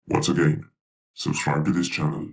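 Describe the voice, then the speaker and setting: A man voicing an instructive robot through a pitch-lowered robotic effect, giving deliberate step-by-step tutorial commands. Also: monotone, gravelly, distant mic. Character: instructive robot speaking for a video channel. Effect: robotic pitch-lowered voice with flanger and delay.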